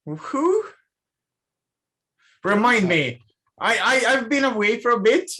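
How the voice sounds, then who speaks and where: A woman in a tabletop game session sounds puzzled and keeps firing off the same questions. Her voice sits a little high and swings widely in pitch. She talks at an unhurried pace. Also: expressive, a bit bright. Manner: bewildered, repeating questions. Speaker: tabletop roleplaying player. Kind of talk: playful in-character banter.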